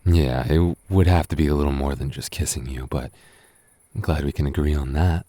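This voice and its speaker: Very deep-voiced flirty tipsy roommate played by a man. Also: steady tone, moderate pace, mellow, no room sound. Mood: flirty, intimate.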